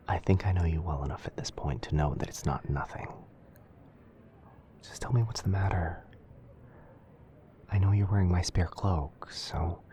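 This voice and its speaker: A man playing a gentle young wizard boyfriend in an ASMR roleplay, speaking softly and often near a whisper with a tender, hesitant manner. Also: low voice, a little hiss or hum, lightly acted. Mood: gently concerned. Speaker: roleplay audio performer.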